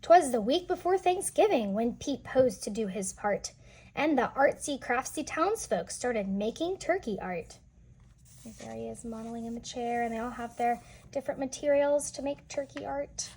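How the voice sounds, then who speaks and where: A woman's voice: a little high, lively intonation, moderate pace, a little mellow, breathy edge. A woman reading a picture book aloud to young children, explaining and asking questions as she goes. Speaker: children's read-aloud storyteller.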